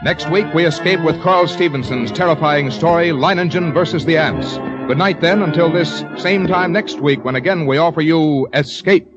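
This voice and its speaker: A man's voice: fairly high pitch, moderate pace. A man with an American accent making formal, scripted announcements in the style of 1940s radio. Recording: muffled vintage radio recording. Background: faint music.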